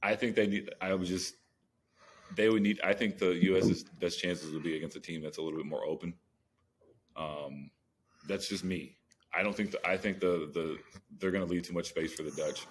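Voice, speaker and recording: A man's voice: sits low, steady tone, normal speed, a little hiss or hum. A man co-hosting a casual soccer podcast in slangy, informal, sometimes profane language. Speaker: sports podcast co-host.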